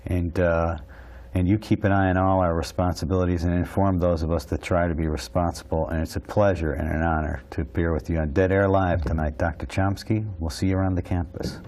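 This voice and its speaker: A man's voice: sits low, level tone, a little mellow. A man in his forties hosting a call-in show from a small TV studio, talking in a casual, agreeable way. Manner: casual, agreeable, quick interjections. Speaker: host.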